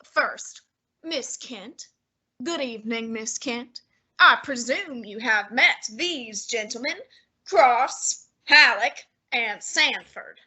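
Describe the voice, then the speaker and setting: A woman plays a heavyset male detective genius who speaks in an unhurried, commanding way, talking down to everyone in the room. The voice sits high and bright for the part. Each word is placed with lordly patience. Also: expressive, slightly rough, heavily acted. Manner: measured, commanding, condescending. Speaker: student actor. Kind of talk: student radio drama acting.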